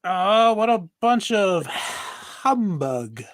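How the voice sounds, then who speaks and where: A man playing a gruff, scornful cartoon ghost gang boss, sneering and tough-talking in a table read. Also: high-pitched, sing-song, drawn-out words, fairly bright tone, heavily acted. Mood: grudging.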